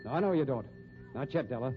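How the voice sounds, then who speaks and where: A man's voice: mid-range voice, animated, fairly quick, faint music behind, lightly acted. An actor playing a crooked, cynical circus tough guy in a 1950s radio mystery, blustering in hoodlum slang. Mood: blustering, threatened. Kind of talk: 1950s radio crime acting. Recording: muffled old radio recording.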